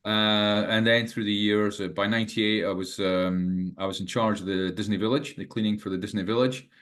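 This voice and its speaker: A Scottish man in his sixties with a fairly low voice talks as an interview guest. He hesitates, restarts and fills pauses with "uh," chuckling now and then. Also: steady tone, fairly bright tone. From Scotland. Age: 60s. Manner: hesitant, many fillers and restarts, chuckles often. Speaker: guest, management teacher and author. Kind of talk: casual interview talk.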